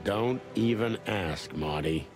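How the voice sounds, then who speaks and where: An actor playing a world-weary, terse rooster detective in a film-noir adventure game. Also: male voice, fairly low pitch, takes it slowly, music in the background. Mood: grim, firm. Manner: terse, hard-boiled, dry.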